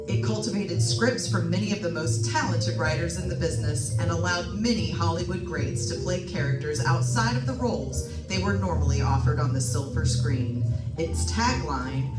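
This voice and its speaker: A woman hosting, giving a formal scripted stage introduction in a measured, theatrical announcing manner. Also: lowish voice. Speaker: host and radio drama performer. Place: live performance in a studio venue. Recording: roomy, distant pickup with loud music behind.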